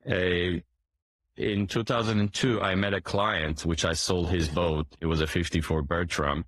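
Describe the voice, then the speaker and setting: A man in his forties who grew up in Turkey, a boat dealership owner answering interview questions in a hesitant way, with many fillers and restarts and frequent throat clearing. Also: sits low, fairly even intonation, measured pace, a bit bright, no echo.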